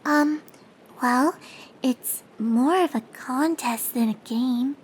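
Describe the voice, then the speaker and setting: A woman voicing a timid, gentle cartoon pegasus pony, soft-spoken, hesitant and easily embarrassed. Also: high voice, slow, a little hiss or hum, voice pushed up. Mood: shy, hesitant. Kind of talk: character voice in fan fiction reading.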